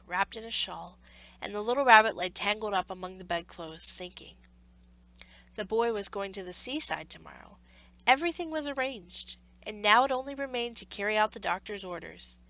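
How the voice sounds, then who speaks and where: A woman reads a children's story aloud as its narrator. Her voice is bright and sits at a middle pitch, with measured, expressive delivery. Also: rough recording.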